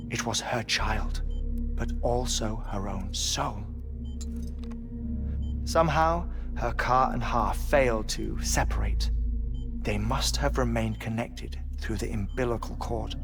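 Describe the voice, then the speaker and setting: Creaky-voiced, cerebral male scientist. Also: medium pitch. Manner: measured, explanatory, precise. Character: calm, cerebral male scientist explaining strange theories. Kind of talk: video game cutscene acting.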